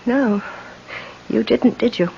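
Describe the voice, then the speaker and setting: High-voiced, frightened landlady, old radio. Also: vocal fry, dark tone. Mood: tense, shaken. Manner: anxious, insistent, halting. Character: frightened, suspicious, strong-willed boarding house landlady. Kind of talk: old-time radio drama acting. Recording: muffled, hissy old radio broadcast recording.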